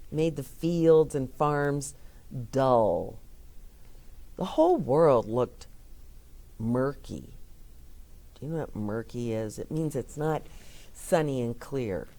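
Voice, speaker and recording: A woman reading a picture book aloud to children, gently stopping to explain words and ask the listener questions. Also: deep voice, takes it slowly, pauses often. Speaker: children's storyteller.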